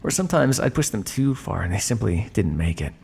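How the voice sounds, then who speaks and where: Male actor as vampire scientist captor for audio roleplay: creaky, swooping delivery, smug and theatrical, some hiss on the recording. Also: fairly low pitch, sing-song, darkish tone. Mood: matter-of-fact, cool. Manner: smug, measured, teasing, theatrical. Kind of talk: ASMR roleplay monologue addressed to listener.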